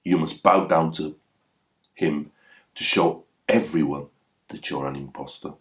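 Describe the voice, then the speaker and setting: A man's voice: a bass voice, a little slow, a bit bright. A man voicing a poor young folk hero, bold, solemn and commanding, in a storybook reading. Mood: solemn warning.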